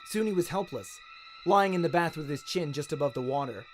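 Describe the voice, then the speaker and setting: A man's voice: a little high, animated, a little fast, soft background music. A man with an Australian accent giving a scripted, dramatic narration of a story. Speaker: audiobook narrator.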